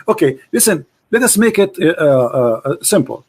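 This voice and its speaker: A man with a notably high speaking voice leads a heated debate over an online call. He pushes the same question again and again, insistent and relentless, giving his opponent little room. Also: very animated, measured pace, husky. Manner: insistent, repeats pointed questions.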